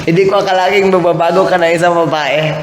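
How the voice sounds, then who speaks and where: A man's voice: high-pitched, steady tone, fairly quick, lightly acted. A young Filipino man acting a supportive, friendly buddy in an amateur radio drama. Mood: glad, helpful. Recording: echoey, noisy homemade recording.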